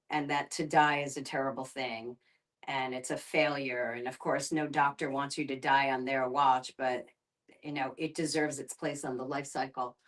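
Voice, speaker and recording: A woman with a low voice talks reflectively in a discussion. She picks her words thoughtfully, pausing and restarting, and sits a little away from the microphone. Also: recorded from a distance. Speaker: guest facilitator and documentary filmmaker.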